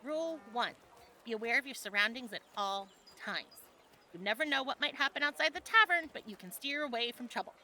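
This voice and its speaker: Over clear background noise comes a woman acting as a friendly tavern woman from a medieval fantasy audio adventure. Her voice is bright and forward. She speaks conversationally, teasing a little as she guides a newcomer. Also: fairly high pitch, animated, brisk. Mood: guiding. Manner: conversational, gently instructive.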